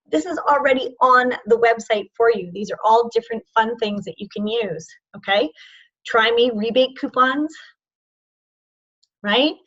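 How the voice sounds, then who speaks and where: A woman training sales representatives in an online session, informal and encouraging, with a voice that is going hoarse. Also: on the high side, fairly bright tone, highs cut off. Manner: informal and encouraging, checks in with "okay?". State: losing her voice, hoarse.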